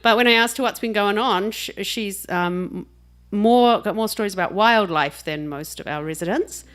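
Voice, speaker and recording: A woman with a New Zealand accent introduces a reader at a small live event. She talks casually and jokes with the crowd, laughing between remarks, in a voice of ordinary middle pitch. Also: normal speed, fairly bright tone.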